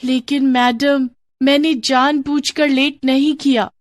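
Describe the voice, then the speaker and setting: A woman's voice: high-pitched, little pitch movement, slow, dry recording, studio quality, voice pushed up. A woman voicing a poor, modest schoolgirl who speaks politely and earnestly in a children's moral story. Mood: pleading.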